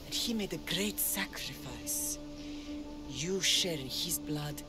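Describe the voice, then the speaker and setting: An actress playing a steadfast warrior mother who instructs her child in a measured, solemn way in a game cutscene. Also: low voice, animated. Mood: solemn, reassuring. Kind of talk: dramatic game cutscene acting. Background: prominent music.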